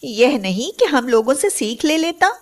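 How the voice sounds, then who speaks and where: Woman acting a high-voiced, smug, greedy village wife. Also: very animated, unhurried, exaggerated voice. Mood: gloating. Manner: complaining, gloating. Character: greedy, smug, mocking wife of a rich farmer. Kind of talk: moral story cartoon dialogue.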